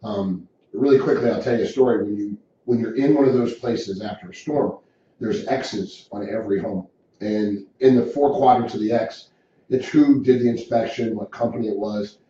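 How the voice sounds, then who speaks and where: A man's voice: fairly low pitch, normal speed, dark tone, a little hiss or hum. A middle-aged man, an insurance agency owner, answering interview questions as an earnest, descriptive storyteller. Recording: distant mic, very echoey room, dull.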